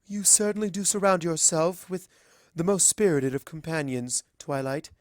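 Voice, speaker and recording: A performer voicing a gentle but resolute pony princess in a measured, formal, regretful manner for a story reading. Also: mid-range voice, no echo, studio quality, pitched above the performer's own voice, lightly acted. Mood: calm, patronizing. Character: regal, sorrowful adult mare princess, gentle but resolute.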